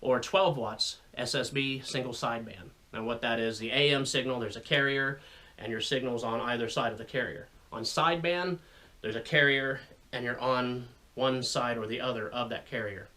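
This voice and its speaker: A man's voice: medium pitch, moderate pace, pushed and bright. An American man giving an informal explainer to viewers in a folksy, conversational way with rambling asides. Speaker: radio hobbyist video host.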